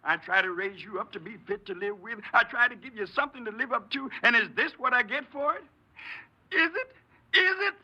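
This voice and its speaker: An actor playing an angry, theatrical, domineering father berating his son in a 1950s radio crime drama, in raspy, declamatory speech. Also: very high voice, expressive, brisk, pushed and bright, exaggerated voice. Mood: furious. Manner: declamatory, berating, repeats phrases bitterly. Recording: noisy, muffled 1950s radio recording.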